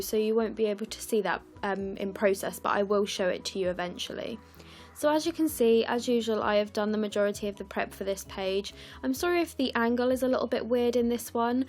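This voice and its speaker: A young woman from the UK, croaky and scratchy, chatting through her scrapbooking as a casual voice-over, with lots of ums and apologies. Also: medium pitch. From United Kingdom. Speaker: scrapbooking vlogger. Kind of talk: casual process video voice-over.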